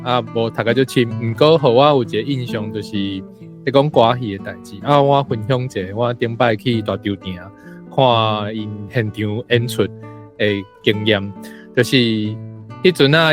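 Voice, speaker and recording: Taiwanese guy, normal pitch, kinda halting and wordy, casual guest chat, light music under him. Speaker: discussion guest. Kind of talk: sharing personal impressions informally.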